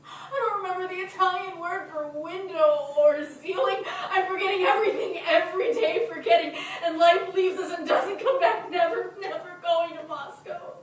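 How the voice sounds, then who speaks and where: A woman's voice: sits high, takes it slowly, slight background noise. An actress playing a young woman worn down by dull work and despair, in theatrical stage delivery. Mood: anguished. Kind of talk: stage play acting. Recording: echoey stage recording from far off.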